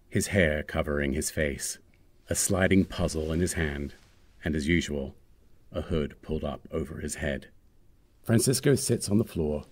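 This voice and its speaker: A man's voice: low-pitched, a little mellow, breathy edge. A man reading screenplay stage directions as scripted narration.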